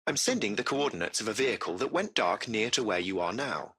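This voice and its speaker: A man's voice: lowish voice, expressive, fairly quick, vocal fry, sharp tone, slightly rough, full character voice. A man voicing a courteous, composed artificial intelligence that runs a taxi fleet, speaking in formal, precise, butler-like phrases for a video game. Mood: composed, informative. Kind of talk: scripted video game voice acting.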